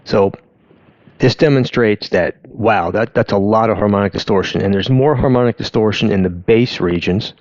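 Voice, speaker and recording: A man with a fairly low voice talks through a technical explanation informally. His delivery is halting and conversational, often doubling back on itself. The recording is dry and somewhat dull, with little room sound. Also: a little mellow, no room sound. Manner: halting, conversational, self-interrupting. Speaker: host of an audio education channel. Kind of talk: informal explainer talk over on-screen graphs.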